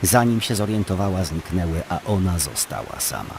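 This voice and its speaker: A man narrating a scripted story as a dramatic, expressive storyteller in an audio drama. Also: low-pitched, normal speed, gravelly, background noise.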